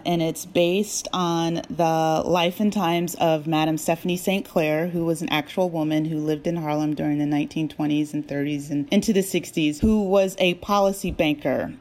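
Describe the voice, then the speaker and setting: Lower-pitched American woman in interview. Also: darkish tone. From New Jersey, USA. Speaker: guest, audio drama writer and producer. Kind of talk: casual interview conversation.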